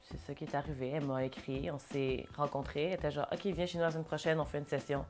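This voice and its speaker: Low-voiced Québécois woman, chatty. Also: little pitch movement, fast, a little mellow, soft background music. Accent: Québécois French. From Montréal, Québec. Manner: chatty, mixes English phrases into French. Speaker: interview guest, singer and dancer. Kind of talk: casual interview talk.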